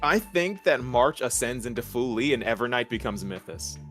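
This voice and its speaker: A man's voice: mid-range voice, animated, moderate pace, fairly bright tone. A man with a mid-range voice joins as a guest co-host. He chats casually as a gaming fan, with faint music underneath.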